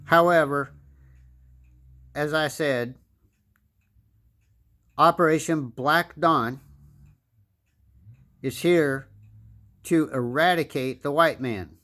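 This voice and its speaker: A man's voice: on the high side, extremely slow, long pauses, a bit bright. Emphatic American man in his fifties. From United States. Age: 50s. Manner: emphatic, lecturing, repeats phrases for stress. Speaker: political commentator. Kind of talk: opinion monologue.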